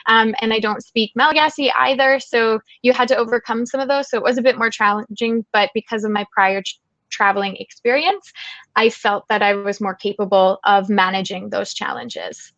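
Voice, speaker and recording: A woman's voice: fairly high pitch, steady tone, normal speed, a bit bright, no echo. A young woman with a Canadian accent, answering webinar interview questions in an earnest, encouraging way and sometimes repeating words as she thinks. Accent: Canadian English. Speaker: guest speaker, travel writer. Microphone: video call. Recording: dull lo-fi call audio, dry.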